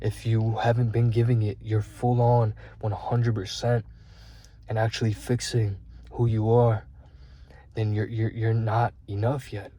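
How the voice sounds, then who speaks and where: A young man in his twenties talks straight to his viewers in a husky, mellow voice. He is earnest and rambling, leaning on fillers and curse words as he pushes his self-improvement message. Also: lowish voice, level tone, measured pace, no room sound. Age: 20s. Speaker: vlogger. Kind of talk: candid self-improvement talk to camera.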